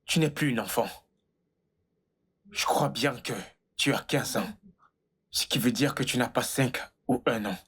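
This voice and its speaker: Dub casting: woman voicing a stern lecturing older brother, very low, husky. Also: a little slow, long pauses, full character voice. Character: stern older brother scolding his teenage sister. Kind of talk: French dub of a film.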